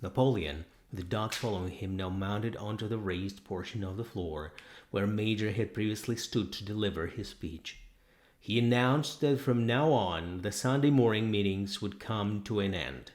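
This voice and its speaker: A man's voice: on the low side, moderate pace, darkish tone. A man narrating a novel as an audiobook, reading scripted prose in a dramatic storytelling style.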